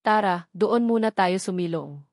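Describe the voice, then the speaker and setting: A woman voicing a reserved, soft-spoken male billionaire boss in romance audiobook dialogue, quiet and understated. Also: mid-range voice, normal speed, no room sound, studio quality, natural voice. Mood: quietly attentive.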